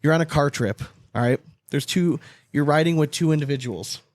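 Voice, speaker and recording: A man's voice: on the high side, fairly quick, dry recording, studio quality. A man co-hosting a podcast with casual banter, talking in a blunt, profane, slangy way. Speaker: podcast co-host.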